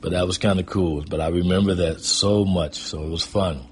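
Very deep-voiced American man reminiscing over noise. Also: steady tone, moderate pace. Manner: rambling, conversational. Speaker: guest storyteller. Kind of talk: casual autobiographical storytelling.

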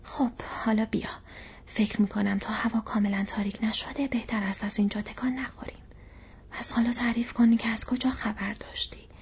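A woman reads as a loyal hobbit servant in a fantasy audiobook, wry and homely. The voice is hushed, very breathy and on the high side, with muffled sound. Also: steady tone. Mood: hushed, curious. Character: loyal, plain-spoken male hobbit servant, wry and curious. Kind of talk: audiobook character voice.

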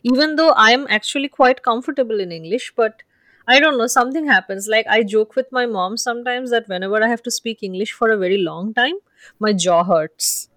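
A woman's voice: on the high side, brisk, fairly bright tone, dry recording. A woman whose first language is Bengali, co-hosting a podcast and musing reflectively. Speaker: podcast co-host. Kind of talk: casual chat.

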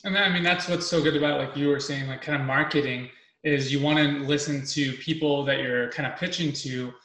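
A man in his thirties hosts a relaxed podcast chat. His voice is bright, and he rambles with many fillers and restarts. The room around him adds a clear echo. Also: a little high, a little fast. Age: 30s. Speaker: podcast host. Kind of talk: casual interview.

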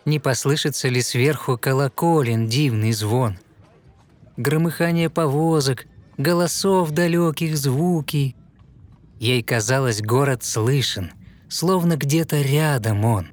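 A man narrating a fairy tale in verse, with measured, rhythmic storytelling. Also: medium pitch.

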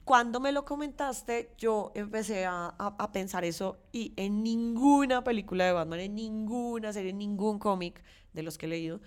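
A woman's voice: mid-range voice, moderate pace, few pauses, clear, resonant tone. Colombian woman chatting casually, thinking aloud. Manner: informal, hesitant, repeats words while thinking. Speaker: podcast co-host. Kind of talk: casual chat about pop culture.

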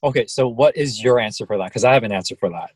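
A man's voice: mid-range voice, fast talker, slightly rough, no echo. A young man co-hosting a podcast in casual chat, sassy and teasing. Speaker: podcast co-host.

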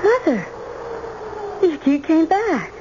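An actress playing an anxious, distraught young granddaughter, halting and pleading, in an old-time radio drama. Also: female voice, extremely high pitch, very animated, heavily acted. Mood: startled, frightened. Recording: muffled, hissy old radio recording.

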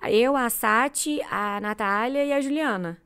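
A woman's voice: on the high side, measured pace, clean tone. A young adult woman from Brazil, chatting casually as an interview guest and acting out the dialogue in her stories. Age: 20s. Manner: animated, acts out quoted dialogue. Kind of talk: casual storytelling chat.

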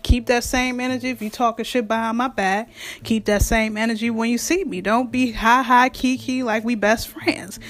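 A woman with a raspy voice and speech, hosting her own show in a blunt, frank monologue that swears freely. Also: mid-range voice. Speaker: podcast host. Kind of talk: casual monologue.

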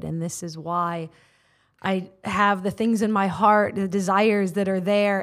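An American woman in her forties, a guest on a podcast, telling her faith story earnestly with many filler words. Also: lowish voice. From United States. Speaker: guest, prayer ministry leader. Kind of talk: personal testimony in interview. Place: studio.

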